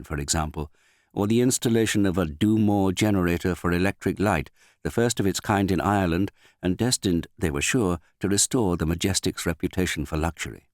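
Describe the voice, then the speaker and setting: A man narrating a literary novel as an audiobook reader, with a measured, understated delivery and finely modulated voice. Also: low voice, no echo, studio quality.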